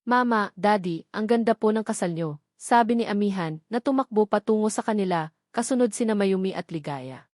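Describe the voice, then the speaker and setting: A woman's voice: mid-range voice, moderate pace, a little mellow, no echo, studio quality. A woman with a Filipino accent reading a scripted romance story as its narrator.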